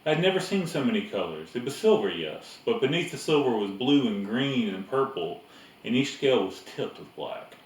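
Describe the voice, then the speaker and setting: A man's voice: mid-range voice, sing-song, moderate pace. A man reading a storybook aloud as narrator. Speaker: reader. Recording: echoey room, distant mic, faint noise.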